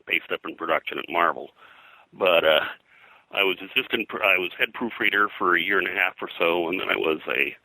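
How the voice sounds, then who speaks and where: Older male interviewee, deep and strikingly crisp-bright, dry close sound, rambling detailed reminiscence. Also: dry recording, poor audio. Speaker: interview guest, veteran comics colorist.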